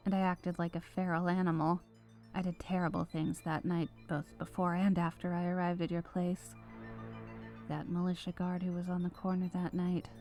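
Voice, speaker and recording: A woman playing a remorseful, battle-scarred renegade witch and former soldier in an ASMR roleplay, speaking softly and hesitantly, as if confiding. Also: fairly low pitch, lightly acted. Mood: remorseful, apologetic. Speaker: ASMR voice performer. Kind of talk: scripted roleplay ASMR monologue. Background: background music.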